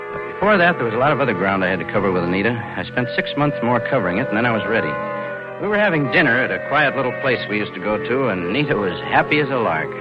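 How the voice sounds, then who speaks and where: An actor playing a cold-blooded, scheming young bank clerk who narrates in cynical, slangy 1940s radio noir style. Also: male voice, lowish voice, close to an everyday voice. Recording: dull lo-fi 1940s radio, loud music.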